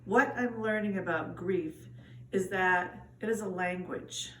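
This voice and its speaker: A woman's voice: mid-range voice, unhurried. A woman reading a poem solemnly, heard from a distance in an echoey, faintly noisy recording. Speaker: poetry reader. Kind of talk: solemn spoken poetry reading.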